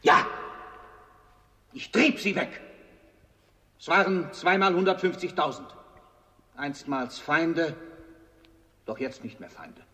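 High-voiced, swooping proud Roman general. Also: male voice, expressive, slow, long pauses, sharp tone, exaggerated voice. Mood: boastful. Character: proud, defiant dead Roman general on trial. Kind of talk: declamatory radio play acting. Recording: long hall reverb.

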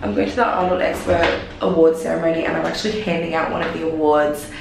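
A woman in her twenties chatting casually to camera as a vlogger, her voice hoarse from a cold, often saying "like" as a filler. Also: sits low, steady tone, fast. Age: 20s. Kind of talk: casual vlog chat to camera. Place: changing: cabs, hotel hallway, expo rooms. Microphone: camera at arm's length. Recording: noisy handheld recording with loud background.

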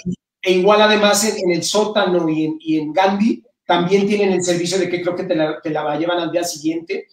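A middle-aged man from Mexico with a Mexican accent touched by Spain, talking casually and haltingly as an interview guest. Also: sits high, steady tone. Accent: Mexican Spanish with some Spain influence. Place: indoor room at home, on a video call. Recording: roomy, distant-mic video call.

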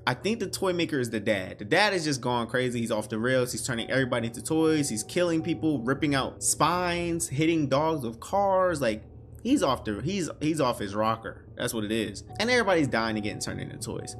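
A man's voice: mid-range voice, expressive, fairly quick, faint music behind. A man in his twenties giving casual, slangy, exclamatory reaction commentary as a video host. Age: 20s. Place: small bedroom, indoors.

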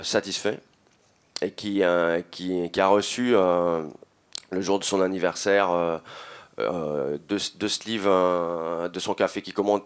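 A man in his thirties with a deep-set, low voice talks as a panelist on a conference stage. He feels his way through sentences with pauses and repeated words, holding a microphone close. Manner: hesitant, halting delivery with frequent self-repetition. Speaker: panel speaker. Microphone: handheld microphone held close.

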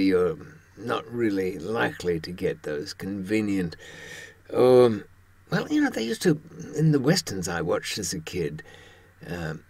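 An elderly man in his seventies giving a wry, halting conversational monologue to camera in a husky voice. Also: sits low, a little mellow. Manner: wry, halting, with pauses for thought. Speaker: presenter talking from personal experience.

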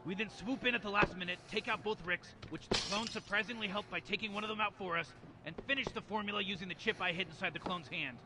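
A man's voice: high voice, fast talker, hardly any pauses, lightly acted. A man voicing a smug, rambling old mad scientist who brushes off every objection. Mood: scheming. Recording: noisy outdoor recording with traffic.

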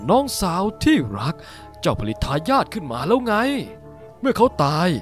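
A man's voice: sits high, very animated, normal speed, faint music behind. A man voicing a haughty, scheming ice-bird demon aristocrat in a fan-dubbed cartoon, theatrical and condescending. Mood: sly, condescending. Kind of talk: Thai fan-dub cartoon acting.

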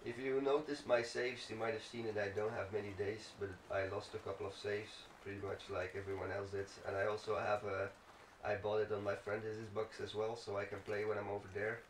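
A man's voice: lowish voice, little pitch movement, brisk, hardly any pauses. A man giving casual, rambling gameplay commentary, thinking aloud as he plays. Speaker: let's play gamer. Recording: roomy, distant mic, faint noise.

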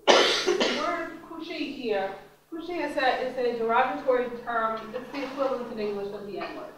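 A woman's voice: fairly high pitch, a bit bright. A middle-aged woman giving an academic lecture at a podium, conversational with frequent ums and restarts. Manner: conversational, many ums and restarts, gestures while talking. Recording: very echoey lecture room, distant mic, faint noise.

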